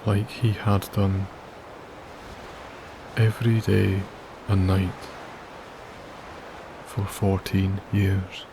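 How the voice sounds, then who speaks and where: Man, soft-spoken sleep story narration, slow unhurried pace, low even voice, steady hiss of background noise. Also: creaky. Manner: slow, soothing, gentle delivery with long pauses. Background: wilderness ambience.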